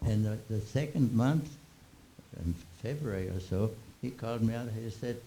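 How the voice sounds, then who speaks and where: A man in his nineties, born in Austria with German as his first language, telling old stories in a halting, chuckling way with many restarts. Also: medium pitch, warm tone, a little hiss or hum, low-quality recording. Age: 90s. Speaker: interviewee. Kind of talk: reminiscing Q&A.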